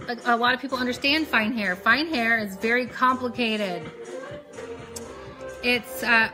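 A woman in her thirties hosting a casual beauty live stream, chatting in a rambling way as she works. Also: on the high side. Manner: chatty, rambling, talks while doing hair and makeup. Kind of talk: casual live tutorial chat.

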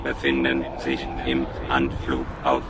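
A man voicing an impassive military control computer in a German science fiction radio drama, giving flat, repetitive, mechanical commands. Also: a bass voice, unhurried, crowd noise. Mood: impassive, commanding.

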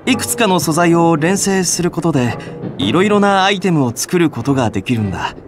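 A man's voice: fairly high pitch, lively intonation, brisk, over music, close to an everyday voice. A man voicing an earnest, caring young alchemist in a Japanese video game, friendly and patient when explaining. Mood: friendly, explanatory.

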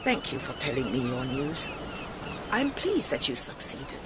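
A performer playing a dignified, wise Chinese woman in a radio drama, formal and measured. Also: mid-range voice, huge pitch swings. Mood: serene, formal. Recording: loud ambient background noise.

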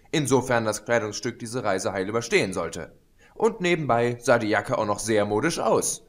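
Man reading a fan story aloud. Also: mid-range voice. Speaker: audiobook reader. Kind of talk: scripted narration.

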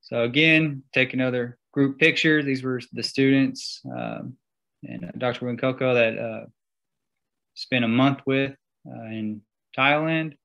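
Slow, gravelly man giving a seminar talk. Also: medium pitch, fairly even intonation, a bit bright, dull audio. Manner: halting, many fillers. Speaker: guest speaker. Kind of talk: slide-show seminar talk.

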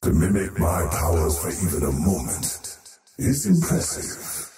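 An actor voicing an arrogant, menacing faceless void creature in a video game, with pompous, ominous and theatrical delivery. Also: male voice, low voice, very animated, unhurried, dark tone, distant mic, full character voice. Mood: brooding. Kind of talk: theatrical game character voice lines. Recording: roomy reverb over background music.

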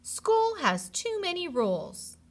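A woman's voice: extremely high pitch, wildly swooping pitch, slow, a little hiss or hum, voice pushed up, exaggerated voice. A woman voicing an impulsive, grumbling kindergarten boy in a storybook, with childlike exclaiming. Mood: whiny protest.

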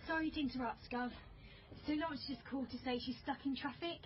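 An actress playing a polite, efficient police colleague in a naturalistic radio drama. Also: female voice, high voice, steady tone, quick pace, close to an everyday voice. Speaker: actor in radio crime drama. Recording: dull, noisy lo-fi recording.

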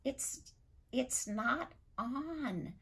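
Woman doing a shouting Viking chief. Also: high-pitched, animated, extremely slow, breathy edge, pitched above the performer's own voice, heavily acted. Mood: blustering. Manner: shouting, indignant bluster. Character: blustering loud male Viking chief, gruff.

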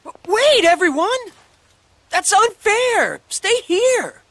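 A man's voice: extremely high pitch, big pitch swings, slow, pushed and bright, dry recording, exaggerated voice. A man voicing an earnest, boyish young hero in a fantasy video game. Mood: flustered protest.

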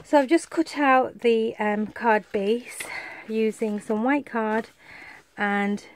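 Woman, stuffy head cold, chatty and rambling, craft show-and-tell, middling pitch. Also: creaky. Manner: chatty, rambling, many fillers. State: congested, coming down with a cold. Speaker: craft vlogger.

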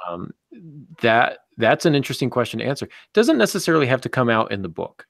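Male host, middle-aged, measured explainer, gravelly low voice, dry close sound. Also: sing-song, no echo. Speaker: podcast host and novelist. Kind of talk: casual discussion of fiction writing. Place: indoor office, on a video call.

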